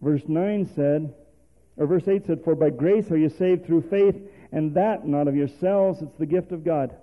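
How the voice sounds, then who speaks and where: High-voiced preacher on a tinny recording. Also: male voice, measured pace. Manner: call-and-response preaching, rhetorical questions. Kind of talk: sermon. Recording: tinny phone-like sermon recording.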